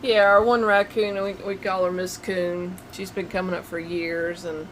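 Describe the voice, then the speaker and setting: A woman's voice: fairly low pitch, little pitch movement, normal speed, fairly bright tone. A woman chatting casually as co-host beside her husband, mostly short agreeable interjections. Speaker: co-host, wife. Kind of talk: casual chat. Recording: noisy home recording.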